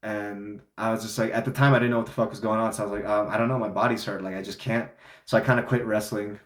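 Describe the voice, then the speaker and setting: A man's voice: on the low side, steady tone, quick pace, echoey room. A young man telling a story in a casual, rambling way, full of fillers and restarts, sounding congested. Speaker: vlogger.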